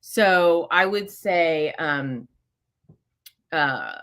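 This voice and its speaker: Bright-voiced chatty woman host. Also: low-pitched, lively intonation, drawn-out words. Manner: chatty, many fillers and self-corrections. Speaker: host answering listener questions. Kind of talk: casual live Q&A.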